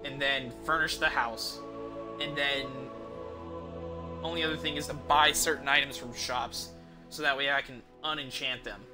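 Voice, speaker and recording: A man's voice: mid-range voice, steady tone, normal speed, music in the background. A man casually commentating on his video game as a streamer, rambling and thinking aloud with offhand swearing.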